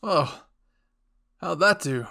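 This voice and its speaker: A man's voice: a little high, animated, drawn-out words, creaky, sharp tone, voice pushed up, full character voice. A man voicing a stern military commander in a fan fiction audio drama, projecting clipped orders. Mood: grave, loud.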